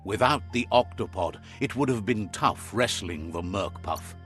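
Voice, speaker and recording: A lively storybook game narrator. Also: male voice, lowish voice, unhurried, soft background music. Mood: wry, friendly. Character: male storybook narrator relaying creatures' words, wry and fond.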